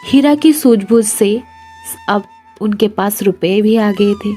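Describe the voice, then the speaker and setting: A woman narrating a children's cartoon story in a clear, even storyteller manner. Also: mid-range voice, slow, faint music behind.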